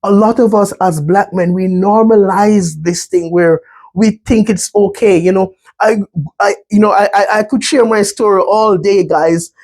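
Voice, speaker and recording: A Jamaican-accented man speaking slowly and earnestly through a slide talk. His voice is mellow and a little husky, and he keeps checking in with his listeners. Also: high-pitched, steady tone. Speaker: mental health speaker.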